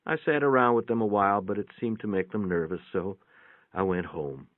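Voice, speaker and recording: Low-voiced man reading, thin phone-like sound. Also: brisk, dry recording. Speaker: story reader. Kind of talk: dramatic reading of a short story, first-person narration. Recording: thin band-limited phone-like sound, dry.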